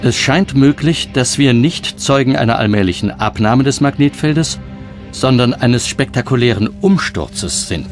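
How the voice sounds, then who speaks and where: A man reading scripted documentary narration in a measured, explanatory manner. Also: medium pitch, animated. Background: background music.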